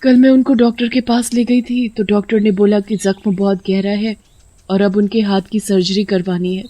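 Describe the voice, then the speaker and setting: A woman voicing a timid, kindly village tea seller with a hesitant, pleading manner in an animated story. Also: medium pitch, moderate pace, no room sound, natural voice. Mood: worried.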